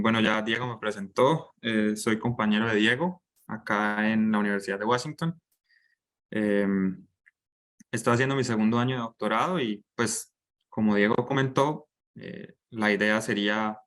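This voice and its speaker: A man's voice: on the low side, fairly bright tone. A young man with a Colombian accent, speaking as a guest lecturer and doctoral student in an informal online class. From Bucaramanga, Colombia. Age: young adult.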